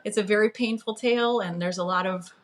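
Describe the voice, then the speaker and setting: A woman's voice: medium pitch, brisk. A woman speaking as a guest author in a casual livestream, playful and informal in manner. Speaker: author guest on a book live stream.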